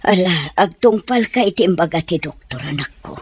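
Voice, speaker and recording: A woman with an Ilocano accent playing a concerned older mother who gives gentle counsel in a radio drama. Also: lowish voice, lively intonation, normal speed, breathy edge, no echo. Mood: counselling.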